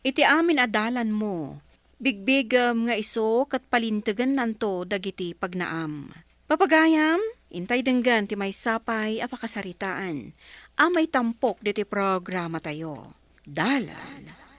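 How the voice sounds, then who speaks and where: A woman hosting a radio program, giving a deliberate, solemn devotional introduction and scripture reading. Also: mid-range voice, fairly bright tone, no echo. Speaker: program host.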